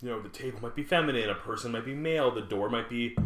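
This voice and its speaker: A man from Montreal co-hosting a podcast, telling anecdotes in a lively, casual way with the odd swear. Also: mid-range voice, quick pace, echoey room, slight background noise. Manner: animated storyteller, swears casually. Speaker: podcast co-host. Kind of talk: casual chat.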